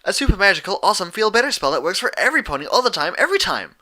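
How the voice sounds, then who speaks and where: A man voicing a hyperactive, bubbly young female cartoon pony who giggles and blurts things out excitedly. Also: sits high, racing, voice pushed up, heavily acted. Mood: excited, boastful.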